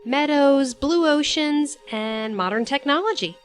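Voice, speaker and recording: An actress playing a curious young travelling companion in an audio drama, with an inquisitive manner. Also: female voice, sits high, expressive, unhurried, faint music behind. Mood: questioning.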